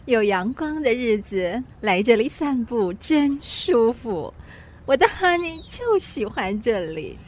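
A woman's voice: on the high side, sing-song, slow pace, exaggerated voice. An actress in a radio drama playing a vain, pampered French society lady who dotes on her dog, gushing and boastful. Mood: airy delight.

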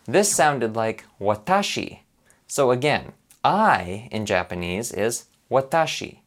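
Man, from Canada, lively swooping pitch, upbeat scripted lesson narration. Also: slow pace. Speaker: language learner presenting a lesson.

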